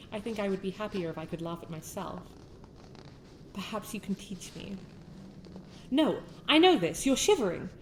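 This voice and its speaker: A woman's voice: fairly high pitch, a little hiss or hum, natural voice. Woman voicing a haughty British winter princess over ambience. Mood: concerned, generous. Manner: formal, courtly, theatrical phrasing. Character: haughty sharp-tongued young winter princess with a softer, regretful side. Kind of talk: scripted ASMR roleplay addressed to the listener.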